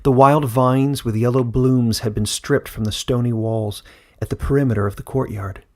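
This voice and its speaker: A man narrating a scripted fantasy story as an audiobook, in a measured storytelling manner. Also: mid-range voice, fairly even intonation.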